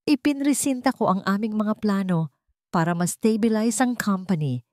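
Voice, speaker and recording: A woman's voice: medium pitch, normal speed, warm tone, dry recording, studio quality. A woman reading a scripted dramatic first-person story as a narrator. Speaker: storyteller of Tagalog romantic drama stories.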